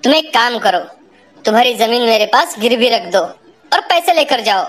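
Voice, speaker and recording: Cartoon village headman, shrewd and businesslike, slightly high pitch woman performing, music underneath. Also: animated, normal speed, natural voice. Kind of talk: cartoon moral-story dialogue.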